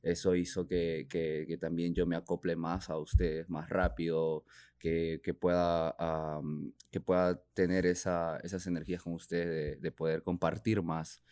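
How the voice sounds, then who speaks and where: A man's voice: low voice, level tone, normal speed, a little mellow, smooth, no room sound, studio quality. A man from Peru, a native Spanish speaker with a Peruvian accent, chatting casually and hesitantly, searching for words. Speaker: guest, tattoo artist. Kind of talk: casual podcast chat.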